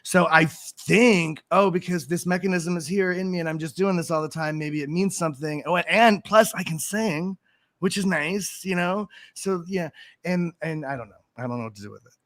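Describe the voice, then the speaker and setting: A man's voice: high-pitched, normal speed, no room sound. A man talking as a guest in a casual live-stream chat, rambling and self-mocking and laughing often, into a desk mic at home.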